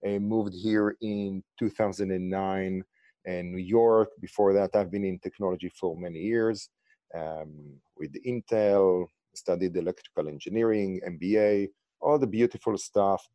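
A man with an Israeli accent speaks on a close, dry mic, his low voice mellow and relaxed. He talks with animated leaps in pitch on stressed words and often restarts his sentences. It sounds like a podcast interview guest. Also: measured pace, dry recording, highs cut off. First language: Hebrew. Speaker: guest, insurtech founder.

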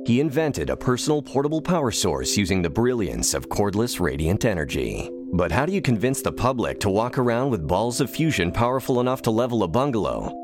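Measured male narrator, lowish voice, very varied intonation, documentary style, clear music bed. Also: very animated.

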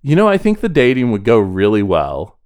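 A man's voice: mid-range voice, wildly swooping pitch, brisk, darkish tone, clean tone, no room sound, studio quality. A man co-hosting a podcast in casual chat, talking in a chatty, self-deprecating way. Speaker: podcast co-host.

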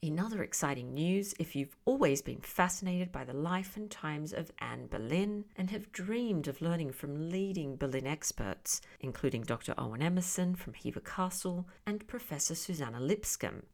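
A woman's voice: sits low, expressive, moderate pace, creaky, few pauses, darkish tone. A woman hosting a podcast, presenting introductions and interview questions. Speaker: podcast host. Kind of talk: scripted podcast narration and interviewing.

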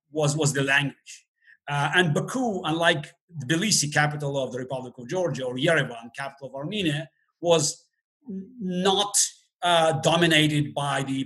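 A man, speaking English as a guest in a casual interview, halting with many fillers and restarts and a dry humor. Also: on the high side, bright, forceful tone. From Azerbaijan. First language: Russian.

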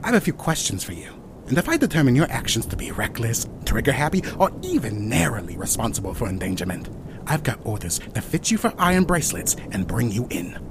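An actor playing an eager, fumbling middle-aged would-be detective in a comic noir audio play, with a flustered, theatrical delivery. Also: male voice, mid-range voice, big pitch swings, fast, noisy background. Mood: stern, official. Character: eager, fumbling overweight man over fifty, would-be detective.

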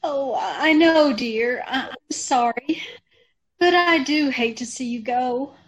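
Woman acting as high-voiced, slow, worried mother. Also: highs cut off. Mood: worried, tearful. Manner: hesitant, pleading, fretful. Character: worried, tender mother of a grown son. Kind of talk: old-time radio drama acting.

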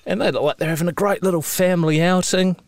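A man's voice: high-pitched, fast talker, dry recording. A middle-aged man from New Zealand with a New Zealand accent, chatting as a podcast co-host, swearing freely and telling stories with growing indignation. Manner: swears freely, animated storytelling with rising indignation. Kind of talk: casual chat.

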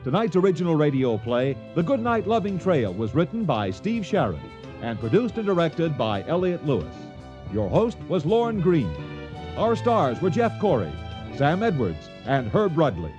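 A man's voice: fairly high pitch, very animated, normal speed, dull audio, over music. A man announcing formal scripted credits for a radio drama program. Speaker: staff announcer.

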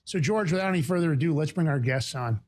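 A man from the Bronx with a New York accent, hosting a talk show in a fast, emphatic, indignant way. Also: a little high, darkish tone. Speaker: podcast host.

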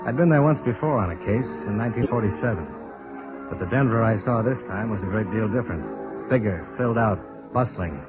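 Man acting as tough American private investigator, low voice, clipped world-weary delivery, dull vintage radio drama recording with music. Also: expressive, fairly quick, lightly acted. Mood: matter-of-fact, wry. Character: tough, world-weary male insurance investigator, sympathetic underneath. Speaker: lead actor and narrator. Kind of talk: 1950s radio detective drama acting, first-person narration.

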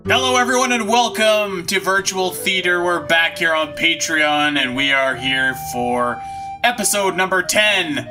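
A man's voice: high-pitched, measured pace, over music. A man hosting a podcast in a casual chat style, with an upbeat, slangy manner. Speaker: podcast host.

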